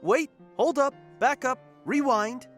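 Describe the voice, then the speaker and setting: A woman's voice: high-pitched, slow pace, soft background music. A woman playing a hot-tempered, foul-mouthed, sulky biker in an audio drama. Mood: indignant. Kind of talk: animated audio drama acting.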